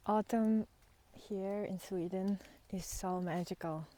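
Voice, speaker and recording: A woman with a soft-edged, mellow voice talks at a slow pace as she walks outdoors. Her delivery is reflective and unscripted, with halting phrases that often sink into a breathy near-whisper. The pitch sits in an average range for a woman. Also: fairly even intonation. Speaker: spiritual vlogger and guide. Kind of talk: unscripted reflective talk while walking in nature. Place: outdoors on a walk in nature.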